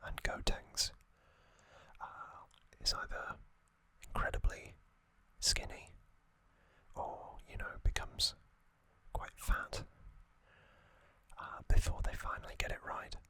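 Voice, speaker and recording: Slow whispering man, close to the mic. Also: dry recording. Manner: soft unvoiced whisper with frequent ums and uhs. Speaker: ASMR channel host. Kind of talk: whispered ASMR trivia narration.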